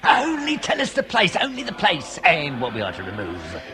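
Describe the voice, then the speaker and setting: An actor playing an excitable, dim, eager henchman thief in a comic period radio drama. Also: fairly high pitch, moderate pace, soft background music, exaggerated voice.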